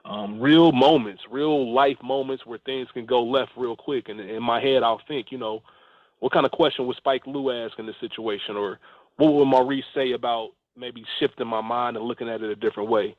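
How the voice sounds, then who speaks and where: A man's voice: medium pitch, moderate pace, no echo, narrow, tinny audio. A man speaking an earnest, appreciative thank-you as a guest leaving a voice note. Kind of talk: heartfelt spoken thank-you message.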